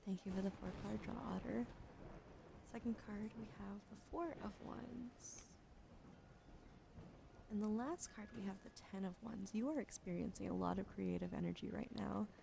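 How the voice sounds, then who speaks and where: Young woman, soft ASMR talker, husky fry in the voice, half-whispering close to the mic, hissy home recording. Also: medium pitch, normal speed. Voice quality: gravelly fry, often whispered. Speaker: streamer doing tarot readings. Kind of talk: casual ASMR chat, soft-spoken.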